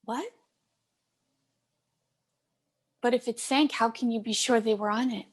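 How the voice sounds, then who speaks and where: A woman playing a gentle, emotional mother in a dramatic table read of a stage play. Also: a little high, fairly quick, close to an everyday voice. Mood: disbelieving, grief-stricken. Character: Norma, gentle mother shattered by terrible news.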